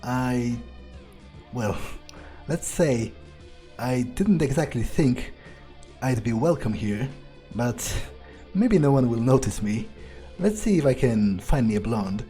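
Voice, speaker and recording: A man roleplaying a hesitant, curious vampire in a video game, musing aloud in a halting, wary way. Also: mid-range voice, animated, mellow, soft background music. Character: hesitant, curious male vampire, wary yet polite loner. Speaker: let's player voicing own character. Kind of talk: in-character improvised game roleplay.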